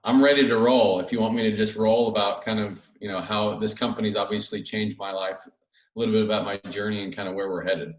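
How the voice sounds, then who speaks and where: Lowish-voiced man, distant and roomy. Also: fairly even intonation, fast talker. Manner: casual, conversational, loosely phrased with many hedging asides. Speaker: guest speaker. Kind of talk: informal personal storytelling on an online call. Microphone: video call, away from the mouth. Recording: roomy, distant microphone on online call.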